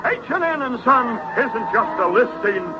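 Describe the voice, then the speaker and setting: A man playing an excitable small-business owner in a 1970s radio commercial, on a noisy old broadcast. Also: extremely high pitch, measured pace, dull audio, over loud music. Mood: excited.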